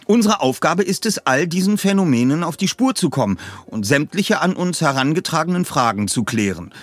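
A well-mannered young detective, performed by a male reader, speaks in formal, precise phrases with a touch of hesitation. The pitch is lifted and eager, in audiobook mystery style. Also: animated, a little fast, dry recording. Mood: polite, eager. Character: polite, articulate teenage boy detective, eager and formal.

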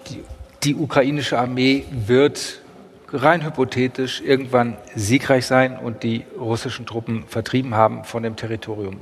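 Formal male moderator in a reverberant hall. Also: mid-range voice. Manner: formal, deliberate questioning. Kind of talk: live panel debate. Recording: long hall reverb, faint noise.

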